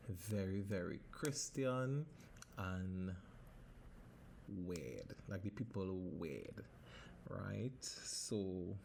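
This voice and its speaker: A man's voice: deep voice, gravelly, darkish tone, slight background noise. A man in his thirties from Trinidad with a Trinidadian accent, hosting a casual solo podcast, swearing freely and slipping into Caribbean dialect. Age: 30s. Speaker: podcast host.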